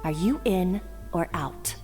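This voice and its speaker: A woman playing a confident, sharp-tongued rival chef who goads her opponent in a video game. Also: fairly low pitch, expressive, takes it slowly. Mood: smug challenge. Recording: long reverb with music behind.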